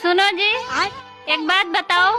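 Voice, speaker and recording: A woman's voice: very high voice, fairly even intonation, slow, music in the background. A woman voicing a cheeky, matter-of-fact cartoon wife in a comic skit. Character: cheeky, unbothered cartoon wife teasing her husband.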